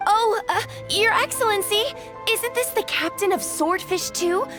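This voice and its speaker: A woman's voice: very high-pitched, a little slow, over music. An actress voicing a curious, hesitant young female villager in an anime game.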